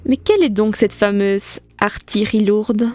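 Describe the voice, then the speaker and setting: A woman's voice: mid-range voice, moderate pace, a little mellow, lightly acted. A woman playing a wry, teasing storyteller, narrating a scripted audio comedy adventure theatrically.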